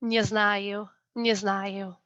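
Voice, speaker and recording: Bright young woman on a lo-fi call. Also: medium pitch, little pitch movement. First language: English. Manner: slow, measured reading; chatty and earnest in answers. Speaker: poet reading her work. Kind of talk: poetry reading then Q&A over video call. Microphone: video call. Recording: dull lo-fi video call audio.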